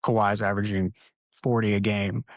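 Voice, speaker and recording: A man hosting a casual basketball podcast, talking in a relaxed, conversational way and leading the discussion. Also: fairly low pitch, normal speed, no echo. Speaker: podcast host. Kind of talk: casual sports podcast talk. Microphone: home recording setup.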